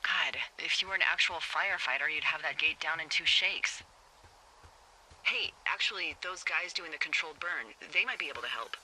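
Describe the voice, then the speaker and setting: An actress playing a wry, uneasy American fire lookout in a video game, speaking hesitantly and conversationally through a walkie-talkie filter. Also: female voice, low-pitched, moderate pace, sharp tone, a little hiss or hum, rough recording. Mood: helpful. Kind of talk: naturalistic video game voice acting.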